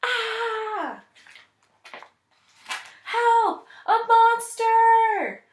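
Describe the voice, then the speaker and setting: A woman voicing an excitable, easily frightened male cat king in a storybook, exclaiming dramatically. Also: very high-pitched, very slow, voice pushed up, full character voice. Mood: panicked. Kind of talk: storybook read-aloud character. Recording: echoey room.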